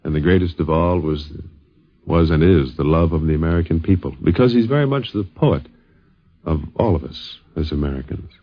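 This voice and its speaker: A man's voice: a bass voice, normal speed, no room sound, muffled recording. A man hosting and narrating a 1950s radio documentary, on a vintage broadcast recording.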